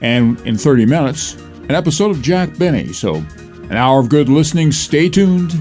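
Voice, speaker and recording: Mellow male program host over music. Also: fairly high pitch, very animated, moderate pace. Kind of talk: scripted program introduction.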